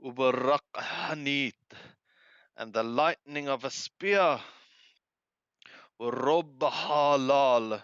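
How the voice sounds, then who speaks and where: A man reading scripture aloud and translating it phrase by phrase, halting and deliberate, correcting his wording as he goes. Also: medium pitch, fairly bright tone, smooth, dry recording. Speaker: amateur Bible reader and translator. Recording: dull lo-fi close recording.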